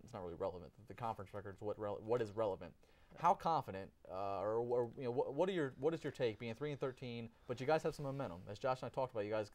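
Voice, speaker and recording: A man's voice: mid-range voice, darkish tone. A man hosting a sports show, asking rambling interview questions with many restarts.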